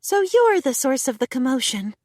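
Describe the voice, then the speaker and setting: Stern elf guild master, read by a woman; high, animated and unhurried, dry recording. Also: dry recording. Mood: commanding. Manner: commanding, clipped.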